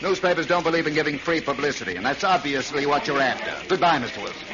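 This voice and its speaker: A cynical newspaper editor, played by a man, curt and brisk, with a highish voice. The vintage radio drama sounds muffled and noisy. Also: natural voice. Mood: dismissive. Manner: matter-of-fact, curt. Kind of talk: 1940s radio drama acting.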